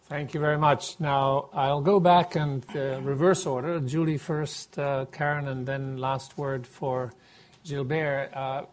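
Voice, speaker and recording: A man's voice: medium pitch, level tone, measured pace. A man moderating a panel, politely calling on speakers and guiding the discussion.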